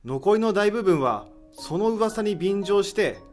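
A man's voice: sits high, expressive, measured pace, faint music behind. A man acting in an audio drama as a skeptical, reluctant assistant with a casual, sardonic, questioning manner.

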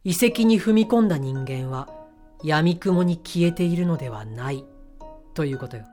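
A woman's voice: low-pitched, expressive, moderate pace, warm tone, soft background music. A woman playing a self-assured, flamboyant man who talks in assertive, theatrical feminine phrasing in a voice drama. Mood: confident, conspiratorial. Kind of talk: amateur voice drama acting.

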